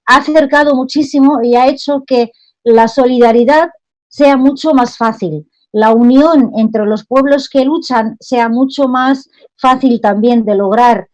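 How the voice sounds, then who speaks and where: A woman from Palestine, an activist speaking earnestly at an online panel over a video call microphone, pausing often with "eh" and restarting her sentences. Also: fairly high pitch, a little mellow, highs cut off. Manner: earnest, fervent, with frequent "eh" pauses and restarts. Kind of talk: impassioned talk at an online panel.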